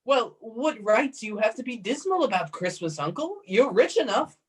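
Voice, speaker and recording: An actor playing a good-natured, cheerful young nephew in a radio play, coaxing in manner, with a slightly husky voice. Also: male voice, very high voice, very animated, normal speed, heavily acted. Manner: bright-hearted, coaxing.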